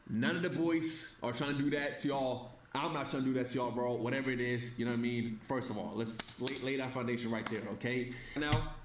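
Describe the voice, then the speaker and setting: Man rambling, roomy echo. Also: mid-range voice. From Sweden. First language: Swedish. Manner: rambling, full of fillers. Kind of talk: casual stream talk. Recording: roomy echo, faint noise.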